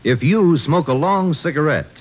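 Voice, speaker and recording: A man's voice: expressive, normal speed. A man with an American accent announcing a 1950s radio commercial in a polished, emphatic style. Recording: muffled old radio recording, faint rumble.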